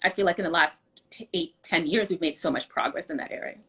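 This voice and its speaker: A woman guest answers interview questions at a quick clip. Her voice is bright with a husky edge, and she explains things earnestly and conversationally. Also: lowish voice. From born outside the US. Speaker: interview guest, political candidate.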